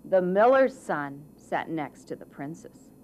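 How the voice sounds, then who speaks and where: A woman storyteller giving an expressive, deliberate scripted narration of a children's fairy tale. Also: low voice, slight background noise, low-quality recording.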